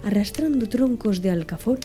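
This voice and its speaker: A woman narrating an audiobook in a soft, measured ASMR style. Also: mid-range voice, expressive. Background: faint music.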